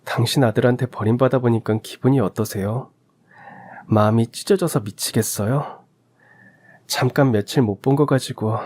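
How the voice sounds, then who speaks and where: A man's voice: mid-range voice, a little mellow, lightly acted. Man acting a bitter, accusing wronged mother. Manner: accusing, sarcastic rhetorical questions. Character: wronged mother, bitter, indignant daughter-in-law confronting mother-in-law.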